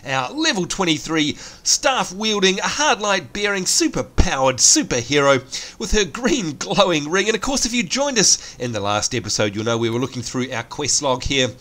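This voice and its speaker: An Australian man with a congested, sniffly voice from hay fever, giving chatty gameplay commentary and viewer shout-outs. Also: normal speed. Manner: chatty, upbeat, lively pitch swings. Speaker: gaming channel host.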